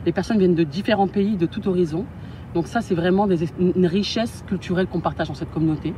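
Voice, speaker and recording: A man's voice: sits high, quick pace, dark tone, slight background noise. A man giving a video testimonial, hesitating a little as he speaks.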